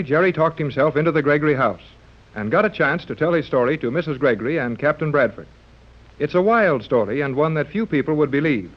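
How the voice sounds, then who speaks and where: A man narrating and playing a gruff ship skipper in a 1930s radio drama, from a dull old radio recording. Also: fairly high pitch. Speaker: announcer and the skipper.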